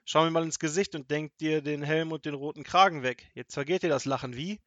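A man voicing a gruff, vengeful middle-aged robber in a storybook reading, growling and boastful, with loud threats. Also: fairly high pitch, fairly even intonation, a little fast, no room sound, highs cut off, lightly acted. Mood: menacing. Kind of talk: storybook audiobook acting.

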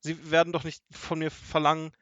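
A man's voice: mid-range voice, fast, no room sound, dull audio, close to an everyday voice. A man voicing a pitiful young boy in a storybook, whining and pleading. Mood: frightened pleading.

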